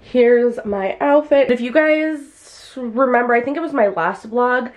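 A woman's voice: a little high, unhurried, highs cut off. A young woman, a hairstylist, chatting casually and rambling to a handheld camera in small home rooms and a car, with lots of "like" fillers. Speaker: hairstylist and vlogger. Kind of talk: casual vlog chat to camera. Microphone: handheld camera at arm's length.

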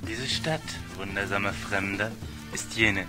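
A man's voice: fairly low pitch, measured pace, music in the background, close to an everyday voice. A man voicing an awed townsman in an audio story, addressing a stranger formally.

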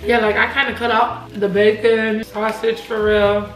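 A woman's voice: on the high side, little pitch movement, measured pace, roomy sound, faint music behind, poor audio. A young woman hosting an eating video from her home kitchen, chatting casually, loose and giggly, swearing freely. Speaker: mukbang host. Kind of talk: casual chat while eating.

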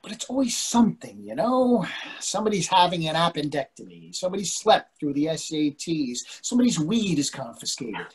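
A man's voice: fairly high pitch, big pitch swings, a little fast, slightly rough, full character voice. An older man playing an anxious, earnest husband and father in a play reading, needling and conversational over a video call. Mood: fretful. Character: anxious, fretful middle-aged husband and father. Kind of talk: readers theatre play reading.

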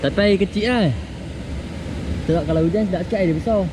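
A man's voice: sits high, quick pace. Malaysian man chatting over outdoor noise. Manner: bantering, calling out directions to friends. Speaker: vlogger filming a camping trip with friends. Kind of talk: casual outdoor vlog chat. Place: outdoors in a forest by a stream. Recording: noisy outdoor action-camera sound, vehicle noise.

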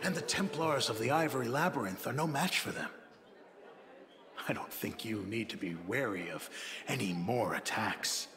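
An actor playing a wry, roguish dwarf mercenary in a fantasy video game, speaking in a deliberate, dryly amused way. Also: male voice, mid-range voice, animated, fairly bright tone. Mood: wry, measured.

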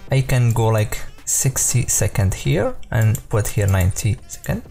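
Mellow-voiced man explaining software casually. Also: on the low side, sing-song, unhurried, creaky, soft background music. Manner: loose and informal, many fillers and self-corrections. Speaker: software tutorial presenter. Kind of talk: casual screen-recorded tutorial.

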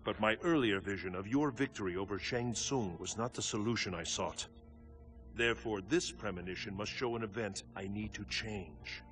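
An actor playing a grave, commanding thunder god guardian in a video game, speaking in formal, portentous phrases. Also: male voice, fairly low pitch, normal speed, fairly bright tone, natural voice. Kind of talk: dramatic video game cutscene acting. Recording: background music under the dialogue.

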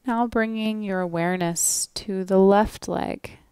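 A woman leading a guided relaxation as a yoga nidra instructor, speaking gently and soothingly with long pauses. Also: medium pitch, steady tone, smooth, no echo, studio quality.

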